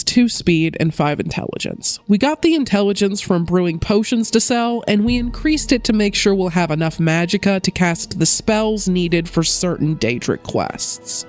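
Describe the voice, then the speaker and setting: A woman giving a steady, explanatory gameplay narration as a gaming video creator, her voice a little off while she recovers from a cold. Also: lowish voice. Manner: steady, explanatory rule rundown. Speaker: gaming YouTuber.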